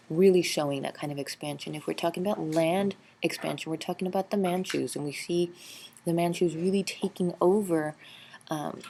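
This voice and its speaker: A woman's voice: deep voice, creaky, darkish tone. A woman teacher narrating a slideshow review lecture, explaining steadily with frequent ums and uhs. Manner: explanatory, filler-heavy with frequent um and uh. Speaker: history teacher.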